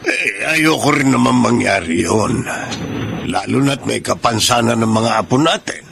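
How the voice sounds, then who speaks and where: A man's voice: medium pitch, unhurried, creaky, background noise. A man acting a concerned, indignant older grandfather in a Filipino radio drama.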